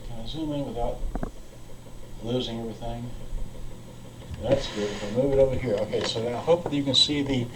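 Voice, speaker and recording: Guy with lowish voice doing casual gadget demo, kinda scattered n muttering, really noisy recording w clicks. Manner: muttering to himself, halting, scattered. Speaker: seller demonstrating vintage cassette radio. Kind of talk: casual unscripted product demonstration. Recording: very noisy home video with clicks.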